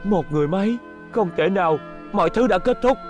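Earnest young space captain in a cartoon, intense n troubled, music under him. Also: male voice, high voice, lively intonation, brisk. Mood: devastated. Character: earnest young male robot patrol captain. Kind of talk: dubbed cartoon acting.

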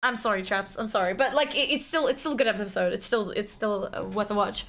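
A woman's voice: mid-range voice, fast, a bit bright, a little hiss or hum. A woman in her twenties streaming a game, chatting casually, swearing and laughing a lot. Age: 20s. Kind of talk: casual live commentary over a game. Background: game music and dialogue.

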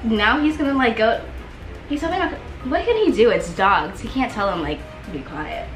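A woman's voice: a little high, animated, moderate pace. A young woman hosting a video with casual, friendly chat. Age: young adult. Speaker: video host. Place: small room indoors. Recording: roomy echo with music behind.

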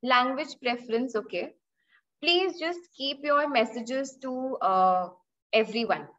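A woman's voice: high voice, slow, a bit bright. A young Indian woman with an Indian English accent, teaching an online class on data analytics, explaining with examples, asking her students questions, and often repeating or restarting phrases. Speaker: webinar instructor. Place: indoor room, online session. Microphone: laptop mic on a video call. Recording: dull lo-fi video-call audio.

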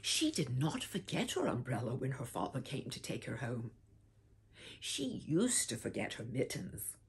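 Older woman storyteller, very husky and breathy, slow and swooping, theatrical read-aloud. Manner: theatrical, swoops high and low, whispers often, calls listeners "my dears". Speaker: storybook reader. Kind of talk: expressive read-aloud for children. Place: home room with bookshelf, indoors.

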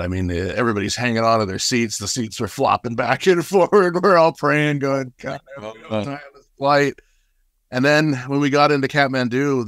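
A man in his forties who grew up in Nepal, a guest telling animated stories with frequent laughs over a webcam from a small room. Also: mid-range voice, dry recording, clean studio sound. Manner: animated, laughs often, gestures broadly. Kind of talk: casual storytelling. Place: small room with paper screens. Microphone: video call webcam.

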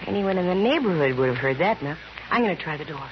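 A woman's voice: on the low side, animated, quick pace, natural voice. Wry female private eye, played by an actress, 1950s radio drama style, slangy and dry, dull noisy vintage sound. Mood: brisk, wry. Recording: muffled, very noisy old radio recording.

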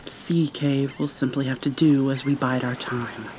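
A woman's voice: extremely low pitch, level tone, moderate pace, heavy background noise. A woman playing an irritable, haughty but secretly caring vampire mermaid in an ASMR roleplay, sardonic and formal with exasperated sighs. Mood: reluctant concern.

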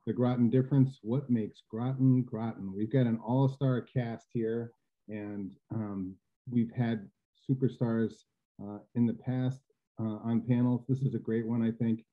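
A man's voice: medium pitch, steady tone, unhurried. A middle-aged man hosting a school webinar, chatty and full of fillers and restarts. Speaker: admissions host. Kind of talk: webinar introduction. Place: home living room, indoors. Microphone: video call webcam. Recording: tinny phone-like video call audio.

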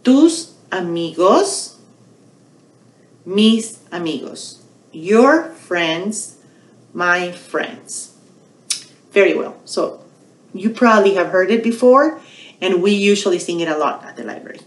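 A middle-aged woman hosts a bilingual storytime for young children in a small, echoey room. Her pitch moves in lively swings and her pace is unhurried. She speaks deliberately, posing questions and repeating phrases like a teacher. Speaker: children's storytime host at a public library. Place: small quiet indoor room.

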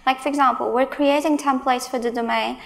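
High-pitched woman giving a tech talk. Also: steady tone, a little fast, creaky. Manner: emphatic, exasperated asides. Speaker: conference speaker. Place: large stage in a hall.

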